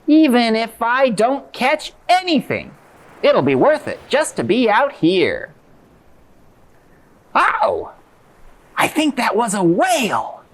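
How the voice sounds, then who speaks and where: A woman's voice: on the high side, wildly swooping pitch, slow, long pauses, full character voice. A woman playing an eager, naive young fisherman in a children's puppet show, earnest and wide-eyed. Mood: cheerful, dreamy.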